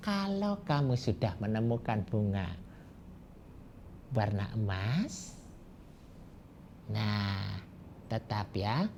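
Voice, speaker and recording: A man's voice: fairly low pitch, warm tone, dull audio, a little hiss or hum, heavily acted. A man voicing a kindly old grandfather who chuckles and draws out his words while giving a hint. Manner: chuckling, drawn-out speech.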